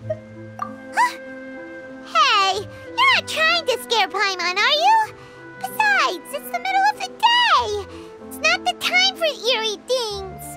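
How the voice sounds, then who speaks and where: A tiny, chatty game fairy, extremely high and squeaky. The delivery is excitable and childlike, swinging wildly in pitch, with music clearly playing behind it. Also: female voice, slow, exaggerated voice. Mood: nervous. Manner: exclamatory, refers to self in third person. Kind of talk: video game voice acting.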